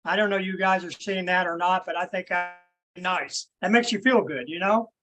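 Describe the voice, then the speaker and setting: A man's voice: high voice, normal speed. A man chatting in a folksy, easygoing way on a video call. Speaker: caller. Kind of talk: casual chat.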